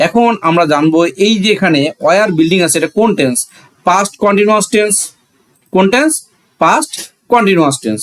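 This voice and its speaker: A man's voice: high-pitched, lively intonation, brisk, fairly bright tone. A man with a Bangladeshi accent teaching a grammar lesson, asking questions and answering them himself, repeating phrases for emphasis.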